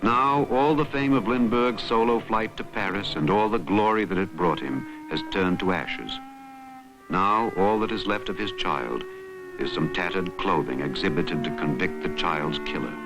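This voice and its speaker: A man delivering scripted, measured and dramatic narration for a documentary. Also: low voice, rough recording. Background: music bed.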